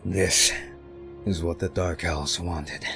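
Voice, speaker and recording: A man's voice: low-pitched, steady tone, slow pace, vocal fry, fairly bright tone, soft background music, heavily acted. A man voicing a proud, resentful male ninja master who growls his scorn. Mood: scornful.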